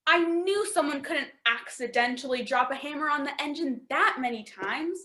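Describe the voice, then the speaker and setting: A woman playing a perky, excitable, naive space scientist in a campy sci-fi radio play. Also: sits high, normal speed. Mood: bewildered.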